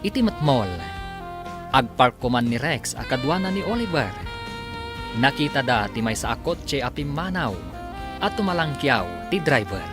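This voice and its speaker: A man narrates a scripted radio serial with very lively, dramatic intonation. His middle-pitched voice sits over clearly audible music. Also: moderate pace.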